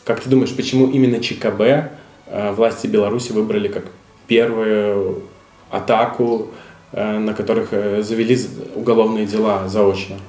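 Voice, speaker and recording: A man's voice: on the low side, little pitch movement, moderate pace, dark tone. A man working as an interviewer, asking questions in an informal, familiar, conversational way. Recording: echoey room sound.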